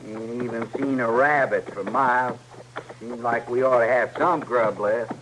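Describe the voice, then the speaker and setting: Guy playing a dumb eager outlaw sidekick, whiny western drawl, old radio western with loud hiss and clicks. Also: mid-range voice, fairly even intonation, unhurried, lightly acted. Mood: plaintive. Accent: American, rural Western. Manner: plaintive, simple, ungrammatical drawl. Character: slow-witted, whining, eager-to-please young outlaw sidekick. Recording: noisy vintage radio recording with clicks.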